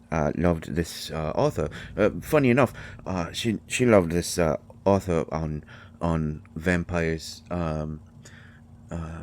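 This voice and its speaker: A man playing a tiny, gruff but shy and caring potion-brewing healer in an audio drama, with a mottled British accent and a halting, stammering delivery. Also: sits low. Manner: halting, stammering, mumbling asides. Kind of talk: intimate roleplay audio drama monologue.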